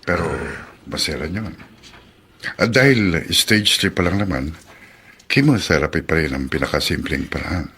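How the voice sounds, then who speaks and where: A Filipino doctor in a radio drama. His voice is very low yet forceful, measured and frank, with faint noise behind it. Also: animated, exaggerated voice.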